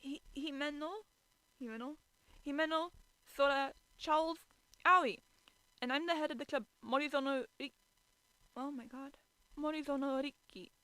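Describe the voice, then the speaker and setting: Female amateur streamer, bright and high-ish, dramatic story reading with stumbles and exasperated asides. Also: fairly even intonation, moderate pace, long pauses, dry recording, rough recording. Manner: stumbling over words, theatrically exasperated. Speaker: streamer reading fan fiction aloud.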